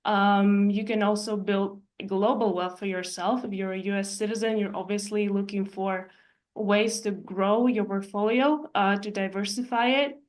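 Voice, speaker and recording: A woman from Ukraine, an architect giving an explanatory presentation in a measured way, pausing often to find her words. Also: medium pitch, steady tone. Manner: measured, explanatory, with frequent hesitations. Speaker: architect and real estate investor presenting. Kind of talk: presentation walking through slides.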